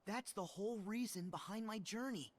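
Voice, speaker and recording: A man's voice: sits high, normal speed. A man voicing an earnest, stubbornly hopeful teenage boy hero in a video game cutscene. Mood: determined. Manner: earnest, determined.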